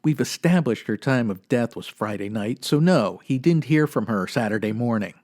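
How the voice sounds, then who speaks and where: A man acting as a 1950s radio police sergeant with a medium-pitched voice. He is stern, dry and unflappable, speaking in clipped, deadpan sentences. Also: normal speed, close to an everyday voice. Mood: businesslike. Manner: deadpan, clipped, matter-of-fact.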